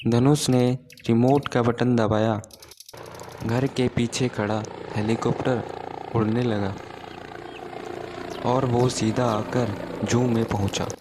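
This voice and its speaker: A male narrator reads a scripted children's tale. His pace is slow and his medium-pitched voice stays level, with little rise and fall. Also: background noise.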